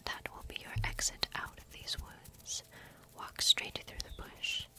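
A young woman voicing a kind, curious forest witch in breathy, whispered ASMR fantasy roleplay, telling stories gently and without hurry, close to the microphone. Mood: caring, earnest. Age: young adult. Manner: gentle, unhurried, theatrical storytelling. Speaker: ASMR performer.

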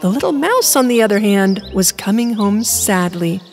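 A woman narrating an animated children's storybook in an expressive storytelling manner. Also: mid-range voice, moderate pace, faint music behind.

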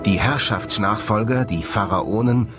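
A man presenting scripted documentary narration in an expressive, dramatic manner. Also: on the low side, measured pace, lightly acted. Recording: music under the voice. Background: music.

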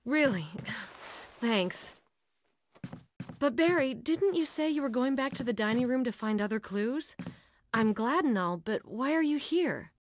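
A woman's voice: a little high, animated, a little slow, pauses often, a little hiss or hum. A woman playing an earnest, concerned young police officer in a dramatic video game, with emotive delivery. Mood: earnest, relieved. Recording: music behind the voice.

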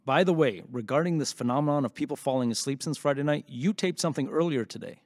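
A man's voice: on the high side, quick pace, dry recording, clean studio sound, close to an everyday voice. An actor playing a composed male radio news host, presenting on air in an audio drama. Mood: composed, serious.

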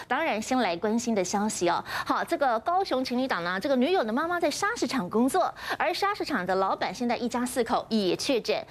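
A young woman presenting broadcast news in a TV studio as an anchor, with a Taiwanese Mandarin accent. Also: a little high.

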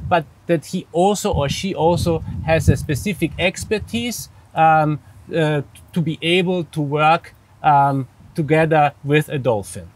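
Austrian psychologist guy in his 40s explaining stuff slowly, lots of um, a bit higher voice, outdoors. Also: poor audio. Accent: Austrian German. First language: German. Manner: measured and careful, many um and uh fillers. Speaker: psychologist guest. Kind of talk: interview answers. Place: outdoors beside a pool.

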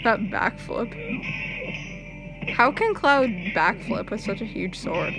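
A young woman giving casual, excitable live reaction commentary on game cutscenes, full of exclamations. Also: a little high, slow, rough recording. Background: game cutscene music.

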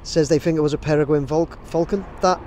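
A man's voice: fairly high pitch, fairly even intonation, fast, darkish tone, a little hiss or hum. Rambling man vlogging on the street. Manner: rambling, many fillers and restarts. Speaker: drone videographer. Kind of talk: casual vlog to camera. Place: outdoors on a city street. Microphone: camera held at arm's length.

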